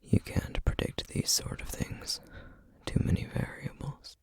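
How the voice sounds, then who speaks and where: A man playing a protective, duty-bound flame demon in an ASMR roleplay, speaking in a hushed, gravelly, halting and intense way. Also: no room sound, natural voice. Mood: somber, reassuring. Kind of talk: ASMR roleplay, scripted character acting.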